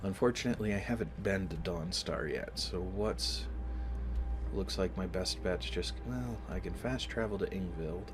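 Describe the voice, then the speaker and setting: A low-pitched man hosts a casual gaming video with a slight cold in his voice. He explains things deliberately, stopping and restarting, over clear background music. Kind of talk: casual let's-play commentary with in-character recaps. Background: game music.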